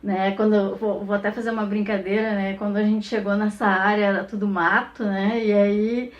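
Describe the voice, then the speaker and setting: A woman's voice: mid-range voice, level tone, normal speed, muffled recording. A woman with a Brazilian Portuguese accent, an academic speaking off the cuff in a conversational way, often tacking on small tag questions. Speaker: academic researcher and professor. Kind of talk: informal recorded testimonial, unscripted reflective talk.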